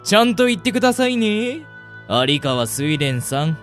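Man acting a teasing, lively-voiced fortune-teller. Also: sits high, a little slow, faint music behind, exaggerated voice. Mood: knowing, insistent. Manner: playful, teasing politeness. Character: teasing, polite male street fortune-teller. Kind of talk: Japanese radio drama acting.

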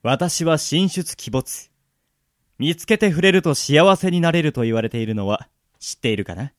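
A man's voice: on the high side, expressive, normal speed, dry recording, clean studio sound, close to an everyday voice. A man playing a pompous, self-adoring legendary love evangelist, grandiose and theatrical, in a comic radio drama. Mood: boastful.

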